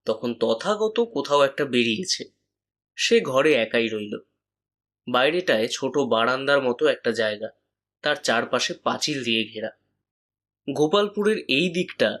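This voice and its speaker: Mid-pitched male story narrator. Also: a bit bright.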